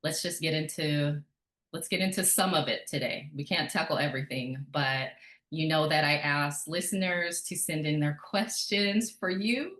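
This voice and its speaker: A woman hosting a conversational podcast interview, introducing things in a measured, deliberate way. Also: low-pitched, fairly bright tone, roomy sound. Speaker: podcast host.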